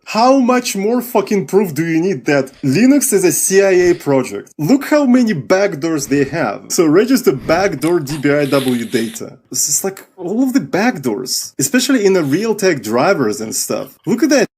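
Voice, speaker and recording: A man casually demonstrating something on screen in an excitable, mocking and profane way. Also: a little high, normal speed, few pauses, husky. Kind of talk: screen-recorded demo, casual.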